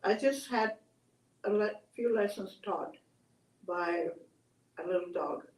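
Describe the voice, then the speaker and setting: An older woman telling stories and reflecting on them in a gentle, halting, conversational way. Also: mid-range voice. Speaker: storyteller, host. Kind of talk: oral storytelling and reflective chat. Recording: echoey room, distant mic.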